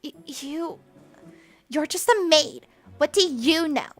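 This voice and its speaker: A woman's voice: sits high, expressive, slow pace, faint music behind, voice pushed up. Lady Constance, a woman doing a sneering spoiled young noblewoman. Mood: petulant. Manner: sneering, taunting. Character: spoiled haughty young noblewoman, cruel and smug.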